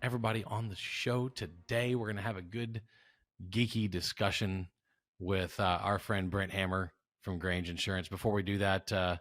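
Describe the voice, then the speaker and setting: A man's voice: low-pitched, steady tone, normal speed, a bit bright, dry recording, clean studio sound. An American man hosting a podcast, chatting casually and jokingly as he interviews a guest. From South Louisiana, United States. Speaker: podcast host.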